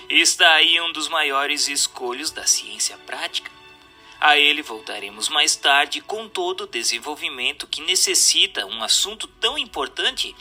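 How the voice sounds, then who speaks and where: Bright, forceful Brazilian male narrator over music. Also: fairly high pitch. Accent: Brazilian Portuguese. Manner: formal, measured, didactic reading. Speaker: narrator of an audiobook reading. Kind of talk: scripted narration of a spiritual text.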